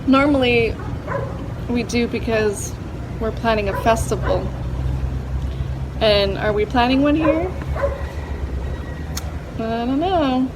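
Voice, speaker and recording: A woman's voice: a little high, slow pace, long pauses. A woman co-hosting a casual chat as a festival organizer, cheerful and playful, with jokey swearing. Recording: street noise, dog and vehicles behind.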